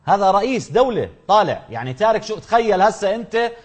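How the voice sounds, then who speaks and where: A man's voice: sits high, very animated, fast talker. A man with a Jordanian accent presenting opinionated commentary, emphatic and indignant, full of rhetorical questions.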